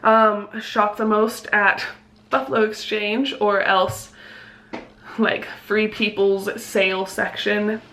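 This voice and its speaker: A woman's voice: medium pitch, level tone, takes it slowly, fairly bright tone, a little hiss or hum. Chatty young woman vlogger. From Mexico. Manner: chatty, frequent "like" fillers. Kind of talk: casual Q&A, reading viewer questions aloud.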